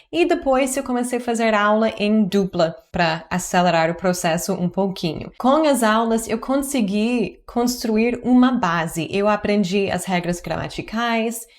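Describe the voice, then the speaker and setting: A woman in her forties, a native English speaker, talking in Portuguese in a chatty, earnest vlog with upbeat jumps in pitch. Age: 40s. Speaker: YouTuber teaching languages. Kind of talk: personal vlog narration to camera.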